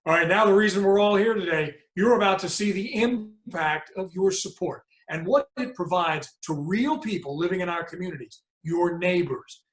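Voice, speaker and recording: A slightly high-voiced man hosts a virtual fundraiser, upbeat and polished as he introduces the next segments. His voice sounds roomy and echoing. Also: normal speed, hardly any pauses. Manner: upbeat, scripted transitions. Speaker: event host. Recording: roomy echo.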